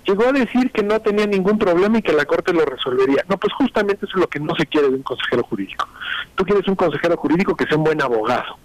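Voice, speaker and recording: A man's voice: fairly high pitch, lively intonation, no echo, poor audio. Mexican man explaining law, sarcastic edge. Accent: Mexican Spanish. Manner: measured, explanatory, dry sarcasm. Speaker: legal scholar guest. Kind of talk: radio interview by phone.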